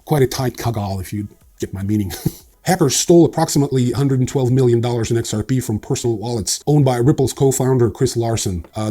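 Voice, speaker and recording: Husky, wry crypto news host man. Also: mid-range voice, mellow. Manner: wry, dry sarcasm, frequent ums and uhs. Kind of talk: casual news roundup with sarcastic asides.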